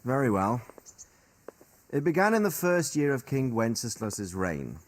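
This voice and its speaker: A seasoned royal commander in a game drama. His speech is measured and articulate, with a very lively, varied melody that carries stern authority. He chooses formal words and sets them out deliberately. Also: medium pitch. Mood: grave, recounting. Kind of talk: dramatic video game cutscene dialogue.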